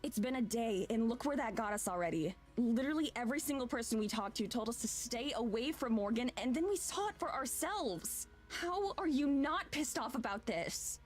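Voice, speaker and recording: A woman's voice: a little high, lively intonation, normal speed, few pauses, faint music behind. A woman voicing a frustrated, skeptical young traveler in a visual novel, blunt and exasperated.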